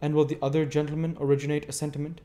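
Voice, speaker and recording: A man's voice: on the high side, level tone, racing, warm tone, pitched above the performer's own voice, natural voice. A man reading aloud voices a secretive, cunning male stranger who asks probing, sly questions.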